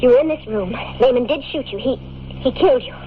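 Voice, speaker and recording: A tinny old radio recording with faint noise carries a woman acting as a young American time traveler in love. Her voice is high, and she speaks with earnest, pleading intensity in classic radio drama fashion. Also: quick pace, close to an everyday voice. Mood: urgent.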